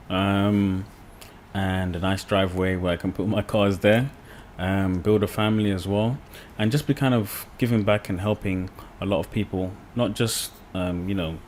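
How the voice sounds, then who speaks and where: Young man, from the UK, podcast guest, lowish voice, rambling casual chat, faint background hiss. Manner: relaxed, rambling, many fillers like "like" and "you know".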